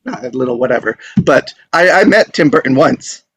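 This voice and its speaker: A man's voice: medium pitch, lively intonation, moderate pace, fairly bright tone, slightly rough. A man hosting an online table read, chatting in an informal, appreciative way. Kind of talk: casual group video-call chat.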